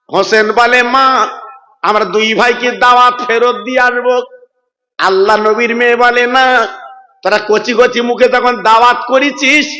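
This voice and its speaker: A man preaching a melodic storytelling sermon in an emotive, plaintive, drawn-out chant. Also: extremely high pitch, bright, forceful tone. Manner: drawn-out, emotive, plaintive chanting delivery with direct appeals to listeners. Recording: hall-like long reverb.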